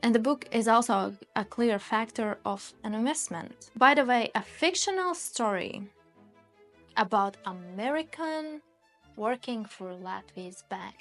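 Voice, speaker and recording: A woman's voice: a little high, animated, measured pace. A woman narrating and interviewing in a friendly storytelling manner. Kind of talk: documentary narration. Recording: faint music underneath.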